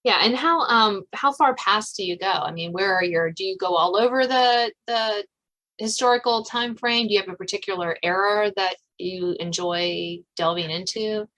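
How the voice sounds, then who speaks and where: A woman hosting a casual interview chat, asking questions haltingly and rephrasing them as she goes. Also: medium pitch, a bit bright, rough recording. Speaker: podcast host, interviewer.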